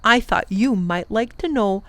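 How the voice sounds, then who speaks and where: Give me a woman voicing an earnest, pleading old Wangdoodle king from a storybook, raised and a bit loud. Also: fairly high pitch, measured pace, no echo. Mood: confiding. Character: wise old male Wangdoodle king, gentle and hopeful.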